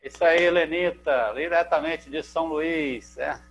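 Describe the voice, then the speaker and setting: A man's voice: a little high, unhurried, soft background music. A man with a Brazilian accent hosting an online poetry evening in a chatty, filler-heavy way over a video call. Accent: Brazilian Portuguese. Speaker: host. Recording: dull video call audio with mains hum.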